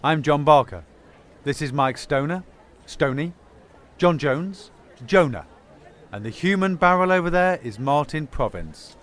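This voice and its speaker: An actor playing a hot-tempered, wounded Sunday-league footballer in an audio drama, blunt and colloquial, with a British accent. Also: male voice, on the high side, expressive, unhurried, pauses often, fairly bright tone. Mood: matey. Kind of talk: full-cast sci-fi audio drama.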